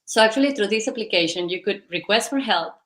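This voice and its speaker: A woman's voice: mid-range voice, expressive, fast talker, fairly bright tone. A woman, a company founder answering interview questions in a rambling, enthusiastic way. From Venezuela and Spain. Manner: rambling, enthusiastic, many fillers.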